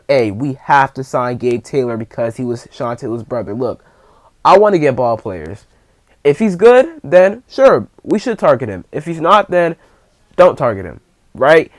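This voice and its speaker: A man's voice: medium pitch, dry recording. A young man giving casual football commentary as a fan channel host, talking conversationally and repeating words for emphasis.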